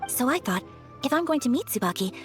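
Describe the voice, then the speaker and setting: A woman playing a polite, refined young noblewoman in a video game, gentle, earnest and formally courteous. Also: high voice, sing-song, quick pace, soft background music.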